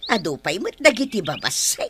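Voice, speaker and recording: Woman playing a very animated Ilocano village livestock keeper. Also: mid-range voice, fairly quick, over music, lightly acted. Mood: cheerful. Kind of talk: comedic barangay radio drama acting.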